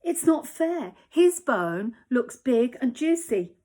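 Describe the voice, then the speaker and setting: A woman's voice: a little high, big pitch swings, slow pace, exaggerated voice. A woman voicing a greedy, jealous male dog in a storybook, speaking eagerly and whining when things go wrong. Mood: cross. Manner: eager and whiny, louder than the narration.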